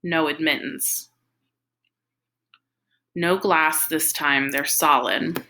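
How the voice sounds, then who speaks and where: A woman's voice: sits low, extremely slow, pushed and bright, full character voice. A woman reading a novel in the first-person voice of a big, troubled, defiant teenage boy, in slangy run-on sentences. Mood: frantic, defiant.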